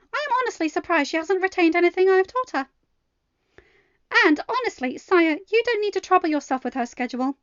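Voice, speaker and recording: A woman's voice: very high voice, no room sound, highs cut off, voice pushed up. A woman voicing a prim, condescending royal handler who scolds and huffs, in an audio story. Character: snooty, scolding young female royal handler.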